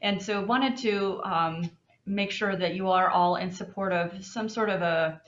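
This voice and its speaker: A woman giving a staff report at a city meeting, halting with many fillers and restarts. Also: mid-range voice, echoey room, muffled recording.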